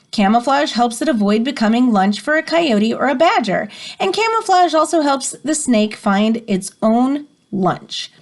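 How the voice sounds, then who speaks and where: Female educator, expressive picture-book read-aloud with teaching asides. Slightly high pitch, swooping melody, dramatic stresses. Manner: animated, dramatic emphasis.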